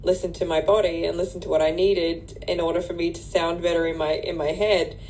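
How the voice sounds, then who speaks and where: A woman in a lower register speaks as a client, answering softly and agreeing often. She shares her thoughts in a relaxed, conversational way. A faint rumble sits under her voice. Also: brisk, poor audio. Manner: soft agreeing, backchannels "yeah" and "mm-hmm". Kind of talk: casual conversation.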